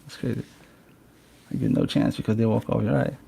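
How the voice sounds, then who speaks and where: A man's voice: medium pitch, steady tone, quick pace, gravelly, warm tone, slight background noise. A man hosting a casual podcast, talking bluntly and swearing freely. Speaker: podcast host.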